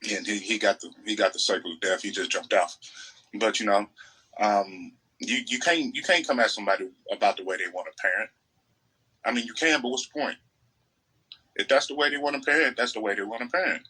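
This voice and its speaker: American male podcast co-host, raspy and punchy, opinionated, emphatic conversational delivery. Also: fairly low pitch, fairly quick, pushed and bright, rough recording. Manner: emphatic, repeats phrases to make points. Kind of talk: casual opinionated podcast chat.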